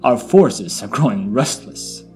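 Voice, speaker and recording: A man's voice: medium pitch, expressive, unhurried, a little mellow, soft background music, lightly acted. A man playing a proud, refined blood elf officer in a fantasy audio drama, speaking formally and articulately. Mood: earnest, resolute.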